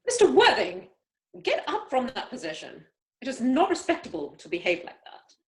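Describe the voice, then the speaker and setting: Lady doing bossy posh mum, haughty and disapproving, high swoopy voice, play read on a video call. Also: wildly swooping pitch, moderate pace. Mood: scandalized, stern. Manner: commanding, haughty, emphatic. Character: imperious, disapproving upper-class mother. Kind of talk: amateur play read-through.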